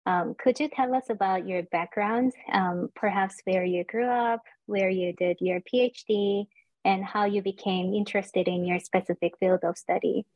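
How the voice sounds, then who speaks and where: A woman's voice: mid-range voice, fairly even intonation, normal speed, no room sound, lo-fi sound. A woman hosting an academic interview, asking formal, polite questions with frequent ums. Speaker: interviewer, host.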